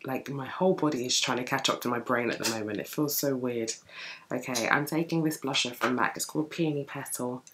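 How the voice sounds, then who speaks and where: A young woman with a deep early-morning voice, chatting through a makeup tutorial at home while she works on her eyes. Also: fairly quick, background noise. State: just woken, deep morning voice. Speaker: beauty vlogger. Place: home room with bookshelves, indoors.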